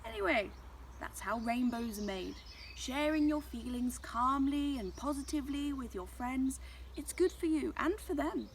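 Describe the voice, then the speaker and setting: Woman reader as storybook sun: wise, gentle, reassuring mentor. Noisy recording. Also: high-pitched, expressive, a little slow, creaky, pitched above the performer's own voice, heavily acted. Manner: gentle, advising.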